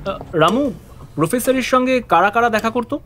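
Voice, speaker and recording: An eager young sidekick in a cartoon mystery, played by a man, high-voiced and inquisitive. He speaks with dramatic curiosity over clear background music. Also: normal speed. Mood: curious, puzzled. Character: eager, inquisitive young male detective's assistant. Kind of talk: Bengali cartoon detective dialogue.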